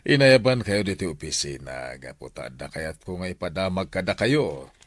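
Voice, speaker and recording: A man's voice: low voice, sing-song, moderate pace. A man playing an encouraging, authoritative school official in a Filipino radio drama, with a Filipino accent.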